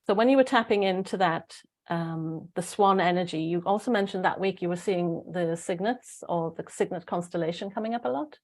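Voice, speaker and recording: A woman's voice: on the low side, brisk. A woman with a Welsh accent hosting a casual spiritual group discussion in an informal, rambling way. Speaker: host.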